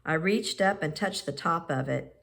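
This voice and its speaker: A woman reading a children's picture book aloud in measured, expressive phrases with pauses. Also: deep voice, creaky. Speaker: storybook reader.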